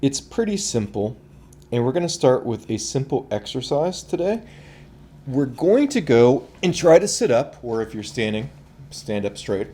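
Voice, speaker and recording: A man talking through voice and breathing exercises in a relaxed, conversational way. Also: medium pitch, moderate pace, slightly rough. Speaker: voice coach. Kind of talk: instructional talk.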